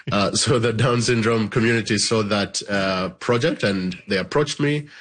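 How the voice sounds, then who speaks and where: A man from Uganda, a filmmaker, answering interview questions at home in a thoughtful, halting way with many fillers. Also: lowish voice, fairly bright tone. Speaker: filmmaker and guest. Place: at home, child in background.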